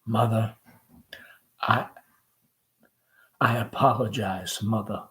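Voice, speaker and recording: A gentle, weary young man , as a reader acts him out from a play. The delivery is soft and halting. He sounds awkward and subdued beside a parent. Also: mid-range voice, steady tone, pitched below the performer's own voice, low-quality recording. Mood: contrite, hesitant. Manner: hesitant, soft-spoken, halting.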